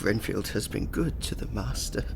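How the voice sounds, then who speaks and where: A man's voice: fairly low pitch, vocal fry, noisy background. An actor playing a deranged, fearful male asylum patient who stammers and speaks of himself as a servant in an audio drama. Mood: fearful, devoted. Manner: halting, stammering, muttering in third person. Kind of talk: theatrical audio drama acting.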